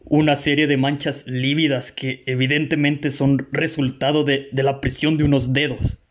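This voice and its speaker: A man's voice: mid-range voice, little pitch movement, normal speed, close to an everyday voice. A man with a Mexican accent acting as an earnest, attentive companion to a detective in a radio play, formal and careful in his speech. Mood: earnest, puzzled.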